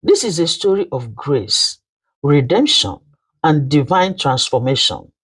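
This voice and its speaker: A storyteller with a Nigerian accent narrating a folktale in an emphatic, theatrical manner with dramatic pauses. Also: mid-range voice, sing-song, a bit bright, slightly rough.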